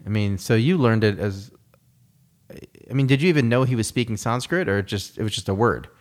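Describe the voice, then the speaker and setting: A man's voice: on the low side, lively intonation, fairly quick. A man talking in a casual conversation, reflective and rambling, with many fillers and restarts. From United States.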